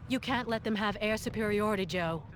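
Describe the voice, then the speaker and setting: A woman's voice: medium pitch, a little fast, lightly acted. A woman playing a bold, confident military liaison officer in a video game, assertive and direct. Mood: urging. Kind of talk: video game briefing dialogue. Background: game music.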